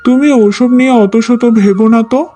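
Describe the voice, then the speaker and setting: A kind young husband and son , voiced by an actor, speaks in a soft, mellow tone. The delivery is earnest and soothing, made for an emotional cartoon story with light music underneath. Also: mid-range voice, fairly even intonation, normal speed, natural voice. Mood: reassuring.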